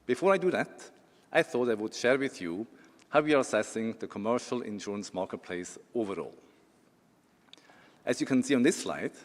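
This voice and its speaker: A man with a Swiss accent giving a corporate presentation as an insurance executive, with some dry humor and a few fillers. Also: on the low side. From Switzerland. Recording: long hall reverb.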